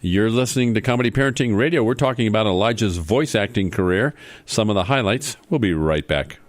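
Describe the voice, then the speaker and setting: A man's voice: fairly low pitch, a little fast, no echo. A man hosting a playful comedy radio show from a studio, interviewing a guest in a mock-serious way with leading questions.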